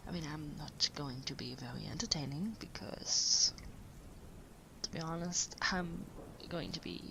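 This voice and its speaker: Caring late-night writer partner played by a woman, soft and breathy with some creak, slow hesitant murmur, sleep-aid audio roleplay. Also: low voice, noisy background. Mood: gentle, reassuring. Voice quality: breathy, half-whispered. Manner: gentle, hesitant, unhurried murmuring.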